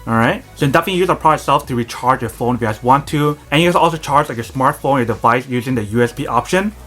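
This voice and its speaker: Fast-talking man demoing a gadget. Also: medium pitch, fairly bright tone, faint music behind. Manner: rapid, repetitive filler phrasing, addresses viewers as "you guys". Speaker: product reviewer. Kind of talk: casual product demo narration.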